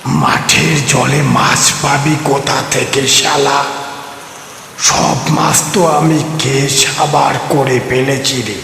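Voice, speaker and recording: A man voicing a menacing ghost in the guise of an old man, with a harsh, rough voice and crude, taunting manner, for a horror story. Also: medium pitch, fairly even intonation, slow pace, fairly bright tone, echoey room, in a large hall, a little hiss or hum, voice pushed down. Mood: bloodthirsty gloating.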